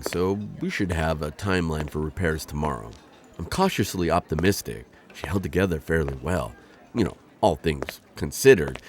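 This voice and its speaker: A man playing an awkward male insectoid bounty hunter in an ASMR roleplay, talking in a formal, flustered, rambling way. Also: sits low. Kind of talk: ASMR roleplay audio drama.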